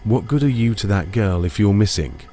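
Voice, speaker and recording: A man delivers first-person narration for a dramatised audiobook. His voice sits a little low, and faint ambient noise runs behind it. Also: animated, a little fast, warm tone. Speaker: narrator and lead character.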